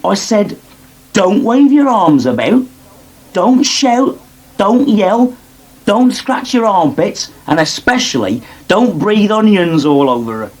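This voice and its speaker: An actor playing a teasing, wily farm lad in a radio soap. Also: male voice, high-pitched, sing-song, takes it slowly, heavily acted, rough recording. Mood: grumbling. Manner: teasing, sly, needling. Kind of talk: British radio soap acting.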